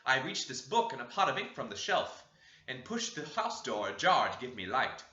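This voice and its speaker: A man reading a classic novel aloud with an expressive, varied delivery. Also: medium pitch, moderate pace. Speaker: audiobook reader. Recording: roomy dull lo-fi recording.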